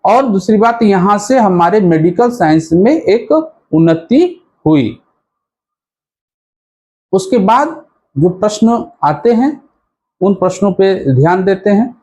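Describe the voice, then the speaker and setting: A man's voice: sits high, darkish tone. A man teaching history in an explanatory exam-prep style, repeating and rephrasing key points as he goes.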